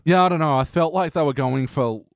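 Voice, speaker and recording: A man's voice: fairly high pitch, a little fast, no room sound, clean studio sound. A man co-hosting a podcast in blunt, sweary, casual chat about a TV show. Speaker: podcast co-host.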